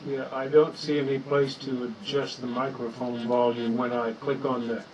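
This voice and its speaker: A man with a loud voice chatting casually on a video call through a headset mic. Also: medium pitch, flat delivery, moderate pace, recorded from a distance, crowd noise, rough recording. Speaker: caller on a video chat. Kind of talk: casual chat.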